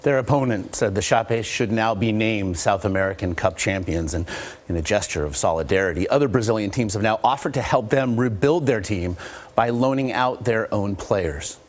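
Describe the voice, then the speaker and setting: A man narrating a scripted television news report in a measured, solemn way. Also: lowish voice.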